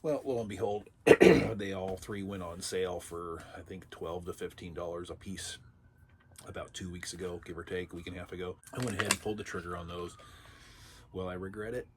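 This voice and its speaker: Congested raspy man, low voice. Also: steady tone, brisk. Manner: rambling, chatty, dry asides. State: congested, under the weather. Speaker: vlog host. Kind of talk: casual unboxing chat.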